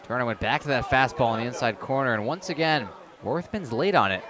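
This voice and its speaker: A man provides color commentary for baseball radio in an average-pitched voice. He explains the play in a conversational way, with a light crowd murmur behind him. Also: fairly quick. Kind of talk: live radio sports analysis.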